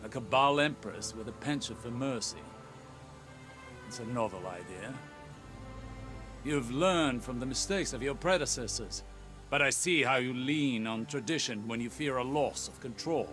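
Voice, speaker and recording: Man acting as shrewd war advisor, video game, mid-pitched, measured, dryly cutting, prominent music. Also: lightly acted. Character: shrewd, probing advisor to a military commander.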